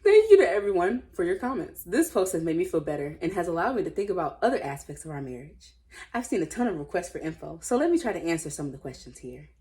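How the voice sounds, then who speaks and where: Casting: woman with a lowish voice, informal read-aloud host, animated and slangy, chatty commentary. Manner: playful, animated reactions, slangy. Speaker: host reading Reddit stories.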